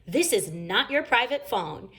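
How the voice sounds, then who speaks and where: A woman's voice: a little high, very animated, unhurried, fairly bright tone, faint music behind, voice pushed up. A woman voicing a stern, easily annoyed mother bear in a children's storybook, scolding firmly and emphatically. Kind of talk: children's storybook read-aloud.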